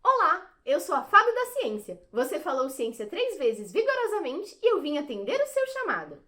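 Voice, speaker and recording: A woman's voice: high-pitched, wildly swooping pitch, normal speed, pushed and bright, full character voice. A woman voicing a formal, theatrical fairy who grants wishes with grand declamation in an audio play. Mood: grandly formal.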